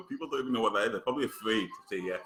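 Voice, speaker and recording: A man's voice: on the low side, racing, a crowd in the background. A man from Manchester co-hosting a casual livestream chat, joking and crude in a laid-back way. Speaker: co-host. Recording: echoey room.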